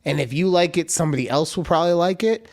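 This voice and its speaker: Male podcast co-host, loose rambling delivery, slightly higher voice, casual chat. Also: fast talker, creaky, darkish tone. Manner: chatty, rambling, acts out quoted voices.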